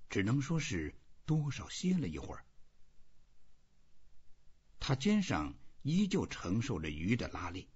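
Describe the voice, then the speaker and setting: A man narrating a literary audiobook in an expressive, dramatic reading style. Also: low-pitched, slightly rough, highs cut off.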